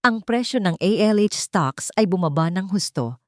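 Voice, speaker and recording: Low-voiced Filipino woman telling a dramatic story. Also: expressive, moderate pace, a little mellow, dry recording, studio quality. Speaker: story narrator. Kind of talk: dramatic scripted first-person storytelling.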